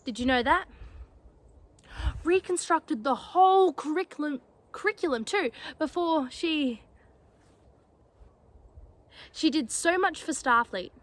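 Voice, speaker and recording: High-pitched, defiant young woman cadet, acted out by a woman. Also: lively intonation. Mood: wistful, grieving. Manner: emotional, bitter, pausing and stressing words. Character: defiant, grieving young female Starfleet cadet. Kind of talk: dramatic monologue performance.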